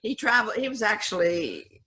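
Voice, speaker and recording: A woman's voice: mid-range voice, sing-song, moderate pace, crowd noise. An older woman, married for decades, joining her husband's interview and telling a family story with easy laughter. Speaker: co-host, host's wife. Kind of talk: casual interview.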